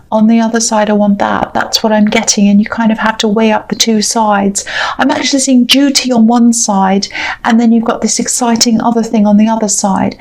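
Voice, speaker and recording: Reassuring higher-voiced woman reading tarot. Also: a little mellow. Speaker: tarot reader. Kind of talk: intuitive tarot reading to camera.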